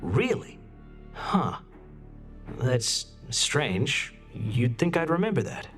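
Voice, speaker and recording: A slippery sci-fi senator from a game, with a very animated, mid-pitched voice. He talks slowly and awkwardly, hedging like a hard-drinking politician, with faint music underneath. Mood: breezy, feigned surprise. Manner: hesitant, stammering politician. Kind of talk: video game cutscene acting.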